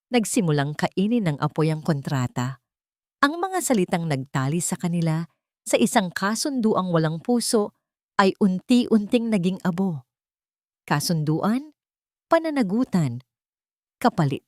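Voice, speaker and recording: Low-voiced woman narrating, very dry sound. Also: darkish tone, dry recording. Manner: deliberate, sentimental storytelling with dramatic pauses. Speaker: story narrator. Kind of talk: scripted dramatic romance narration.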